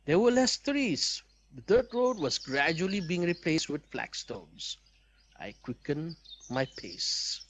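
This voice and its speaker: A man reading a visual novel game aloud as an expressive playthrough narrator. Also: on the high side, takes it slowly, slight background noise. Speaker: video game player and narrator.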